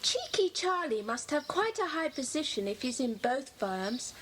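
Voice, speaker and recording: A woman playing a bright, helpful girl in a children's club, eager and inquisitive, in an audiobook dramatisation. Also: sits high, sing-song, moderate pace. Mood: eager, curious. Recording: noisy old cassette dramatisation.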